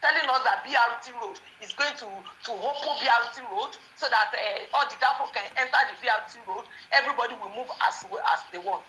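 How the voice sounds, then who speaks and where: A woman's voice: a little high, fairly quick, few pauses, sharp tone, reverberant, a little hiss or hum, rough recording. A woman from Nigeria, calling into a phone-in show and speaking fervently, with prayers and blessings. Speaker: caller. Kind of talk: phone-in call.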